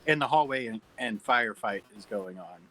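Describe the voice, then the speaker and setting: Bright-voiced man narrating stage directions. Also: medium pitch, expressive, moderate pace, poor audio. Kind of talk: reading screenplay stage directions at table read.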